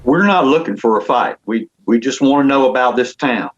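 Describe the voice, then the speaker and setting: A muffled, lo-fi recording of an actor as an older male frontier peacekeeper. His mid-pitched voice is conciliatory and a little hesitant, in a western table read. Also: expressive, a little fast, close to an everyday voice. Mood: placating. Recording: dull lo-fi microphone.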